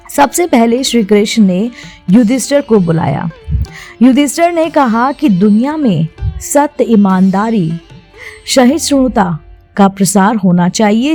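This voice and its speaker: A woman's voice: on the high side, darkish tone. A woman narrator telling a scripted mythological story in a storytelling style. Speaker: narrator of a devotional story channel. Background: plucked-string instrumental music.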